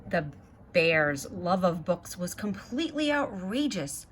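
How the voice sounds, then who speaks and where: A woman's voice: lowish voice, sing-song, takes it slowly, slight background noise. A woman reading a children's picture book aloud, speaking directly to young listeners with little asides as she goes. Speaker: guest storybook reader.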